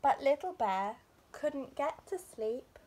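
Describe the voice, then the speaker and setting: Unhurried, high-voiced young woman reading a storybook. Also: animated, warm tone, a little hiss or hum, low-quality recording. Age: young adult. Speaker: teacher reading a bedtime story. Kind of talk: expressive children's storybook narration. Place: small furnished room indoors.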